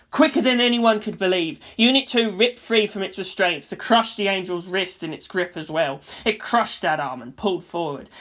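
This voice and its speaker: A woman's voice: lowish voice, fairly bright tone. A woman reading scripted story narration in a dramatic style.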